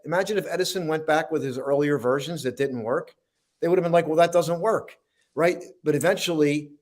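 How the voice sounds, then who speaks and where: A man co-hosting an inspirational talk show, speaking at an ordinary mid pitch. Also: darkish tone.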